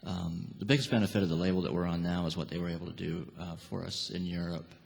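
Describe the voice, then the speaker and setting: Low gravelly guy, musician being interviewed, dry and halting, echoey noisy hall. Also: fairly even intonation, a little mellow. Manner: dry humour, hesitant. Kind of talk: casual live interview. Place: on a theatre stage.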